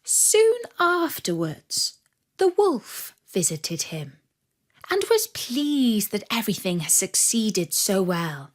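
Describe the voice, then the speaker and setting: A woman narrating a fairy tale for children in an expressive, measured storytelling manner. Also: mid-range voice.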